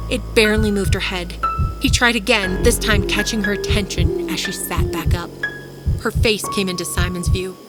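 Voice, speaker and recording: A woman narrates a dark horror story as a podcast storyteller, at a middle pitch. Loud music plays beneath her scripted reading.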